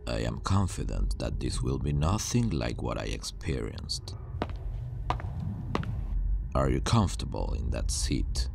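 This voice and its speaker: Obsessive scientist villain, male actor, ASMR roleplay. Heavy vocal fry, swooping intonation, deliberate pauses, calmly menacing. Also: very deep voice, background noise, heavily acted. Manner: measured, deliberate, pausing, reassuring. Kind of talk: ASMR roleplay, intimate scripted monologue to the listener.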